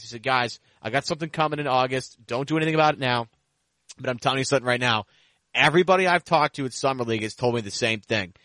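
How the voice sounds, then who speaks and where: A man's voice: medium pitch, a bit bright, dry recording. A man hosting a casual sports podcast, talking in a rambling, conversational way.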